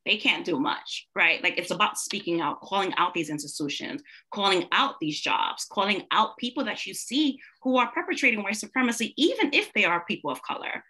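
A woman's voice: mid-range voice, animated, a little fast, few pauses, pushed and bright, husky, poor audio. A young woman, a teacher and student, speaking reflectively on a video call with frequent fillers. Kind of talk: town hall video call. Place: indoor room at home.

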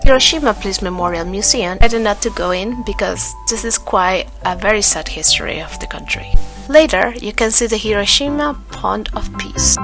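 A woman narrating her own travel vlog in a voice-over, plain and unhurried, with small slips of the tongue. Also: mid-range voice, lively intonation, music in the background. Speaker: travel vlogger.

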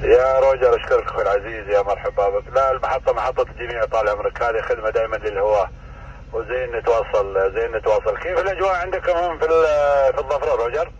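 A man's voice: medium pitch, racing. Gulf Arabic man over muffled two-way radio. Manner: courteous, welcoming, repeats phrases. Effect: two-way radio transmission. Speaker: amateur radio operator. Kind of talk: casual ham radio exchange. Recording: muffled, distant radio speaker, faint noise.

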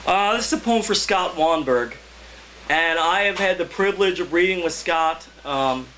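A man's voice: high-pitched, animated, normal speed, sharp tone, a little hiss or hum, poor audio. A young man, a poet, talking casually and jokingly to listeners between readings. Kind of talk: casual introductions between poems. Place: indoor cafe reading before a small audience.

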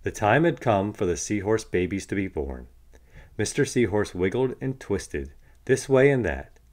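A man reading a picture book aloud for children in an expressive narrating style. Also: lowish voice, unhurried. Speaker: children's storybook reader.

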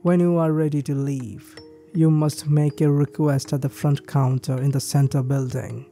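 A man reading a creepypasta list of ski resort rules in a steady, measured scripted narration. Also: a little high. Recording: faint background music.

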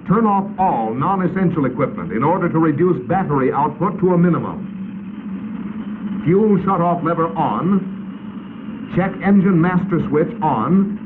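An American man narrating a 1950s instructional film in a crisp, authoritative, instructional manner. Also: on the high side. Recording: tinny, noisy 1950s film soundtrack.

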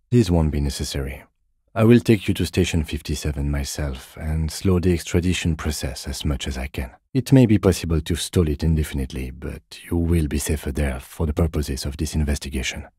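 A methodical, patient police detective in a video game. His voice is extremely deep and mellow, with a husky grain. He speaks in a measured, restrained way, dry and deadpan. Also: no room sound, full character voice. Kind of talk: scripted game dialogue.

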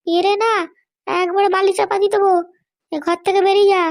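Woman acting a hot-tempered mother, comic cartoon, very high pitch, rapid threatening delivery. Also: level tone, vocal fry. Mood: scolding. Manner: sharp scolding, threatening. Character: scolding, bossy middle-aged mother, hot-tempered.

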